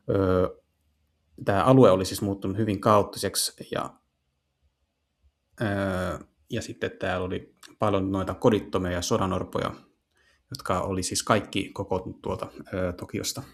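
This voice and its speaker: Game video host: man, deep-ish and creaky, casual, halting delivery of improvised translation. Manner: halting, hesitant, searching for words.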